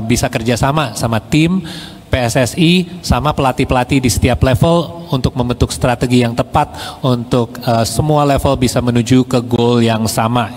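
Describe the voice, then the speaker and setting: A man's voice: medium pitch, long reverb tail. A man interviewing a guest and interpreting his answers, talking with many fillers and hesitations. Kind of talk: bilingual interview, translating answers.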